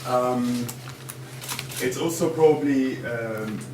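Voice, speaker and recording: Guy from syria moderating a panel, stop-start talking, far off mic, noisy room. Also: medium pitch. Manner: halting, with many fillers and restarts. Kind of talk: chairing a conference panel. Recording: echoey room from far off, background noise.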